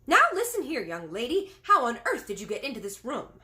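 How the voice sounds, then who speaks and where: A woman voicing a flustered, deferential middle-aged maid who stammers and speaks high and breathless. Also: very animated, normal speed. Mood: indignant.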